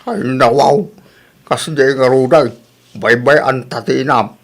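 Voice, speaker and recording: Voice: mid-range voice, slow pace, gravelly. A performer with an Ilocano accent playing a grumbling, complaining old husband and father in a radio comedy drama, with exaggerated exclamations. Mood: resigned grumbling.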